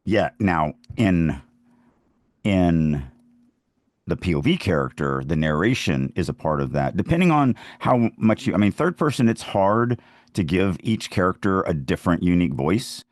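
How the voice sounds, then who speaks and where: A man's voice: low-pitched, very animated, moderate pace, dry recording, clean studio sound. A man in casual conversation as a podcast co-host, speaking emphatically and repeating phrases to make a point. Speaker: writer co-hosting a writing podcast. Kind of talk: casual conversational writing discussion.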